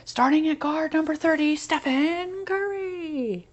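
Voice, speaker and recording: An excited young boy acted out by a woman plays stadium announcer in a storybook. The voice jumps high and stretches out its calls like a screaming crowd. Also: a little slow, highs cut off, slight background noise. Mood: excited, dreamy.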